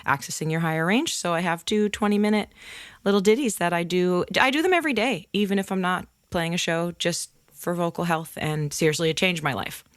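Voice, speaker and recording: A woman singer speaking as a podcast guest, candid and open as she tells her stories, with occasional laughs. Her voice is slightly low for a woman, recorded close and dry with no room echo. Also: a little fast, smooth. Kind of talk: casual interview chat.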